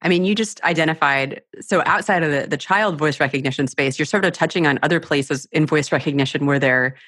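A woman's voice: deep voice, a little fast, a bit bright, dry recording, clean studio sound. A woman hosting a show and interviewing a guest, who thinks aloud and sums up his points before each question. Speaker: podcast host.

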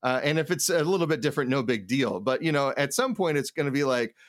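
A man co-hosting a podcast, talking casually about tabletop gaming in a halting way, thinking aloud in fragments. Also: medium pitch, lively intonation, no echo, studio quality. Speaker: podcast co-host. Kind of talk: casual chat about tabletop role-playing.

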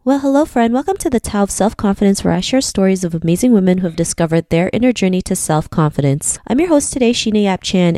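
A woman's voice: medium pitch, warm tone, dry recording, studio quality. Upbeat woman podcast host. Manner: upbeat, brief scripted questions. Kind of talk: podcast interviewing.

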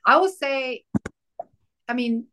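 A woman from Peru with a Peruvian Spanish accent, a podcast guest and mentor telling her story in a casual conversation, pausing often with fillers and restarts. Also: sits high, animated, bright, forceful tone, a little hiss or hum. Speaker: guest, soul alignment mentor.

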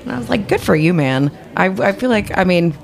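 A woman's voice: medium pitch, expressive, fairly quick, gravelly. A woman co-hosting a radio show in a studio, bantering casually with playfully theatrical phrasing. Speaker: radio co-host.

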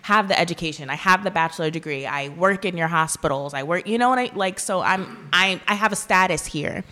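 A young woman co-hosting a podcast, speaking earnestly in a halting, casual way with many fillers. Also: fairly low pitch, bright, forceful tone. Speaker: podcast co-host.

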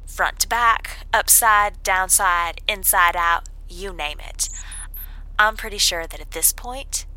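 A woman playing a bright-voiced, cocky maintenance worker. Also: medium pitch, slow pace, no echo, low-quality recording. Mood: confident, sly. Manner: breezy, self-assured, slangy. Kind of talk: audio drama recorded note.

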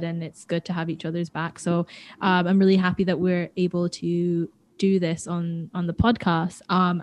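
A young woman with a fairly low voice co-hosts a relaxed podcast chat. She rambles a little, filling gaps with ums and circling back to restart her sentences. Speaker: podcast co-host. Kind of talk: casual chat.

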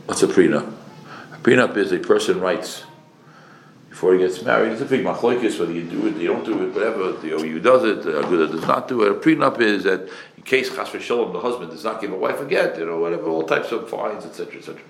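A man's voice: fairly low pitch, lively intonation, quick pace, gravelly, slight background noise. A man lecturing as a rabbi, speaking emphatically with rhetorical questions and repeated phrases, mixing in Hebrew and Yiddish terms. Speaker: rabbi giving a Torah lecture. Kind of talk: sermon to a live audience. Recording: echoey room.

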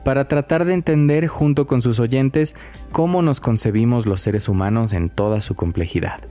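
A man hosting a podcast, reading scripted documentary narration in a measured, reflective way. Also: medium pitch, dark tone, soft background music.

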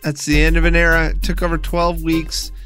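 Male podcast host and producer, a bit higher in pitch, conversational delivery, light music bed. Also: monotone, brisk, fairly bright tone. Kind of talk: conversational interview and scripted credits narration.

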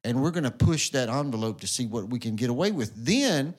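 A man's voice: medium pitch, animated, brisk. A man, co-hosting a church podcast in a rambling, casual way full of "you know"s. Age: 40s. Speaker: podcast co-host.

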